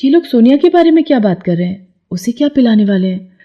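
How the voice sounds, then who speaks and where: A woman's voice: on the high side, very animated, fast talker, muffled recording, pitched above the performer's own voice. A woman voicing a meek, earnest young village girl in a dramatised moral story, speaking in a pleading way. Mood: worried.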